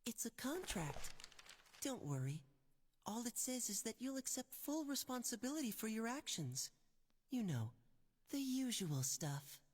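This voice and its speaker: A woman playing a calm, mysterious child spirit in a video game, speaking in a measured, cryptic way. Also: a little high, husky, heavily acted. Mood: calm, eerie. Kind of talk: scripted video game cutscene acting. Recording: long cavernous reverb on game audio.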